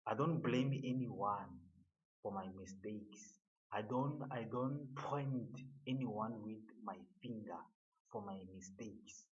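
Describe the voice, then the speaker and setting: A man giving an earnest motivational monologue, halting and often repeating his words. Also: fairly low pitch, highs cut off.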